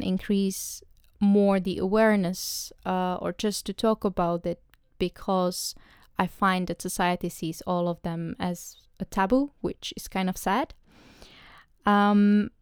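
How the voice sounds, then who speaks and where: Soft-spoken Czech woman, slow and halting. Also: medium pitch, clear, resonant tone, dry recording, studio quality. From Czech Republic. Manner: hesitant, many ums and restarts. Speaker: podcast host. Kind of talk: solo podcast monologue.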